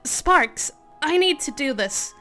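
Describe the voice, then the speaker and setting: A woman's voice: high-pitched, animated, takes it slowly, sharp tone, heavily acted. A woman voicing a young male dragon who is gloomy and unsure, speaking in a subdued, hesitant way over faint music. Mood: downcast.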